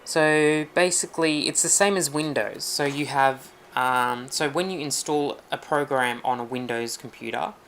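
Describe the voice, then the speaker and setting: Gravelly-voiced man explaining settings. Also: mid-range voice, measured pace, hardly any pauses, a little hiss or hum. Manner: relaxed, rambling, many ums. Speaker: tech tutorial host. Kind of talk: casual screen-recorded walkthrough.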